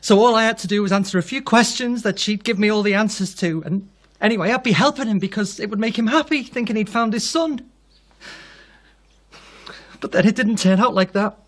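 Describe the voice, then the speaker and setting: A man's voice: high-pitched, fairly quick, lightly acted. Radio drama role: impostor son, earnest, needy, guilt-laden, confessional delivery, male actor. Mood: wistful confession.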